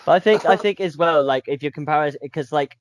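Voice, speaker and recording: A man's voice: fairly high pitch, brisk, background noise. A man co-hosting a music podcast, talking with rambling enthusiasm. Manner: enthusiastic, rambling, repeats words. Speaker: podcast co-host. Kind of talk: casual chat about music.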